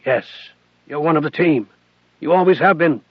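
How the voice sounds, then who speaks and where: A man's voice: fairly high pitch, normal speed, husky, dry recording. Man doing a worn, fatherly colonel. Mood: gentle. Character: weary male colonel, tender and worn. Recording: dull lo-fi recording.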